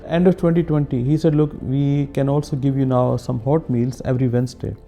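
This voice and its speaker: A man who founded a charity, speaking earnestly in a documentary interview, with short filler pauses. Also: mid-range voice, fairly even intonation, dark tone. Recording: music bed underneath.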